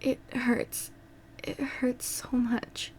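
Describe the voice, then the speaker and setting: A woman playing a tender, desperate young woman in love in an audio drama, with breathy, halting, pleading delivery. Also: on the high side, little pitch movement, warm tone, slight background noise, close to an everyday voice. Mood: in pain.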